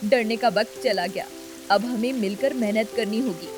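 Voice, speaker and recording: A woman's voice: fairly high pitch, normal speed, a little mellow, faint music behind, lightly acted. A woman voicing a determined village daughter-in-law who rallies others with urgency.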